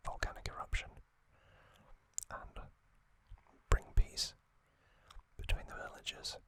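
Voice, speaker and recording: Very slow, soft ASMR whisper. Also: dry recording. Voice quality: breathy unvoiced whisper. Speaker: ASMR host.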